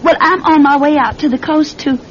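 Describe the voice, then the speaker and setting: A woman acting in an old-time radio drama as a naive, lovestruck young woman, earnest and halting in her delivery. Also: lightly acted. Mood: earnest, confiding. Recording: muffled, noisy old radio recording with rumble.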